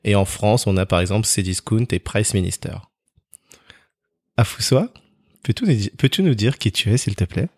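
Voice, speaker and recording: A man's voice: on the low side, animated, normal speed, pauses often, dry recording, studio quality. A man hosting an interview podcast, reading a scripted opening and then asking short, affirming follow-up questions. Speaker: podcast host.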